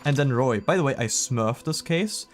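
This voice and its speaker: A man's voice: medium pitch, lively intonation, moderate pace. A young man streaming a video game, chatting casually in a joking, rambling way. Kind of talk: casual chat over gameplay.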